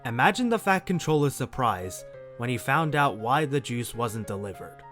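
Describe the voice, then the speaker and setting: A man's voice: mid-range voice, sing-song, moderate pace, fairly bright tone, faint music behind. A man narrating a scripted children's story as the narrator of an audio drama.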